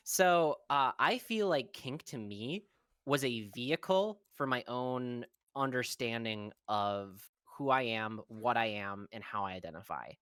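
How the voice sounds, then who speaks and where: A young adult guest in a casual podcast chat, talking in a relaxed, rambling way with lots of fillers. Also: mid-range voice, slow pace, clean tone, dry recording, clean studio sound.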